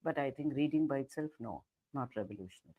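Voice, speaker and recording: An older woman author answering questions in an online book talk, blunt and measured as she thinks aloud. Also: rumbling low, lively intonation, narrow, tinny audio. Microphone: video call.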